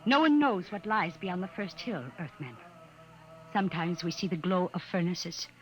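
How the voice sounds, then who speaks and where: An actress playing a solemn, wary native alien trader in 1950s radio style, speaking formally and gravely. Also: female voice, fairly low pitch, moderate pace, lightly acted. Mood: solemn, ominous. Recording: noisy vintage 1950s radio recording.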